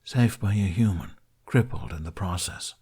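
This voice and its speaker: A man's voice: deep voice, normal speed, husky. A man voicing a proud, brooding male dragon burdened by shame, speaking tersely in an audiobook story. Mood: defeated. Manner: growling, terse, monotone.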